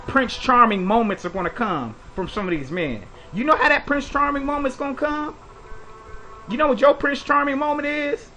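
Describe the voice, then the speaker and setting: High, bright-voiced man lecturing bluntly. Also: a little hiss or hum. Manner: preachy, blunt, lecturing with rhetorical questions. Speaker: commentary video host. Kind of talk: opinionated commentary monologue.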